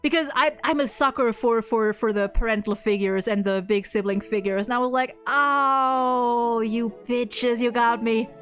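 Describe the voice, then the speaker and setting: A woman in a casual, excited fan discussion, effusive and slangy, rambling with lots of repeated "likes". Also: fairly high pitch, normal speed, few pauses, faint music behind. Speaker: video host discussing a game.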